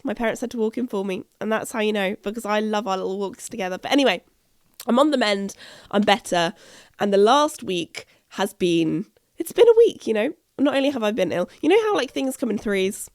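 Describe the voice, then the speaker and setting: A British woman hosting a podcast, chatting in a rambling way with a croaky, husky voice from a chest infection. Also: medium pitch, no room sound, studio quality. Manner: chatty, rambling, self-interrupting. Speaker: podcast host. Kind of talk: casual podcast chat. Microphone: podcast microphone.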